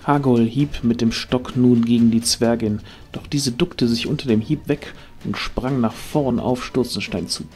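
A man's voice: medium pitch, mellow. A man reading scripted narration for a fantasy audio drama.

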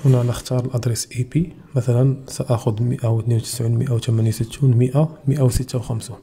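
A man's voice: medium pitch, little pitch movement, racing, dark tone, a little hiss or hum. A Moroccan man with a Moroccan Arabic accent, hosting a technical tutorial and explaining each step of a device setup. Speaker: channel host.

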